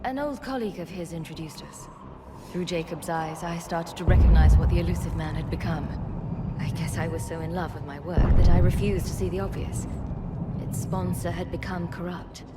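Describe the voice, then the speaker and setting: Woman acting an earnest scientist, lowish voice, noisy setting. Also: moderate pace, natural voice. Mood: reflective. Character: principled female scientist leading refugees, earnest, weary. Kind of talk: video game cutscene acting.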